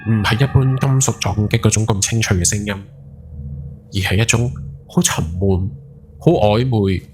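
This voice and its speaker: A man's voice: fairly low pitch, measured pace, close to an everyday voice. A man playing a guilt-ridden night taxi driver in his forties, telling a horror story in Hong Kong Cantonese with brooding, dramatic emphasis. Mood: shaken, tense. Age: 40s. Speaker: ghost story podcast narrator. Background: background music.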